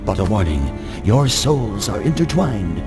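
An actor playing a fussy, bossy scholar of ancient lore in a video game, theatrical and scolding. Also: male voice, lowish voice, big pitch swings, unhurried, in a large hall. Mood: solemn instruction. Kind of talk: video game cutscene acting. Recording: music behind the voice.

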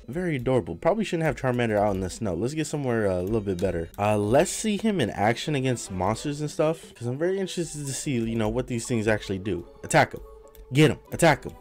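A man's voice: medium pitch, darkish tone. A young man casually commenting on a game as he plays it, his voice worn from having recently lost it. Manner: casual, rambling, talks to chat while playing. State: recovering from lost voice. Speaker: gaming streamer.

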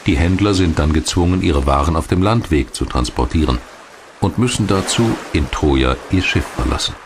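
A man reading scripted documentary narration in a measured, factual manner. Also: low-pitched, slight background noise.

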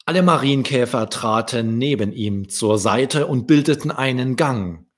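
Slow-reading husky man telling a children's story. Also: medium pitch. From Germany. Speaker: children's author reading his own story. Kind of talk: scripted storytelling narration for children. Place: small wood-panelled room indoors. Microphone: desk microphone close in front.

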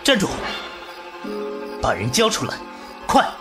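A man's voice: fairly high pitch, huge pitch swings, a little slow, music in the background. A man playing a proud, stern swordsman in a Chinese wuxia audio drama, speaking curtly and with command. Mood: threatening. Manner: curt, commanding.

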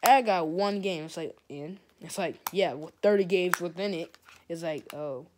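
A man's voice: on the high side, normal speed, darkish tone, slight background noise. A teenage boy vlogging in a small bedroom into a phone camera held close. He talks in a loose, rambling way, full of "like", and his unsteady voice tends to crack. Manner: rambling, full of "like", drifts off topic. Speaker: gaming channel vlogger. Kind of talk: rambling casual vlog.

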